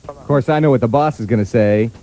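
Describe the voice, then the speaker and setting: A man's voice: mid-range voice, fast talker. A man hosting a morning radio show with jokey, playful DJ patter and station announcements. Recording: loud music bed on radio aircheck. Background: music.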